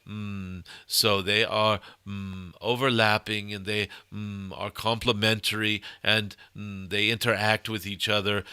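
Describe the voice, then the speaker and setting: A man voicing a benevolent, wise cosmic dragon who gives loving spiritual guidance in halting, ceremonious phrases punctuated by hums. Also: lowish voice, sharp tone, clear, resonant tone, no room sound. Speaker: channeler giving spiritual guidance. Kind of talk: channeled spiritual message to an audience.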